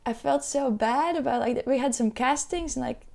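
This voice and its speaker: A young woman with a Latvian accent talks candidly about her work. Her voice sits a little high and carries plenty of vocal fry. She drops casual slang and laughs easily. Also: moderate pace, a little mellow. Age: young adult. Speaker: guest, model manager. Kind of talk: casual chat. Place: hotel room.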